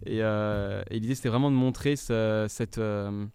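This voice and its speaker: A man's voice: on the low side, fairly even intonation, normal speed, darkish tone, clear, resonant tone, no echo. A young man from French Guiana, a musician guest on a radio interview, talking hesitantly with many fillers and restarts.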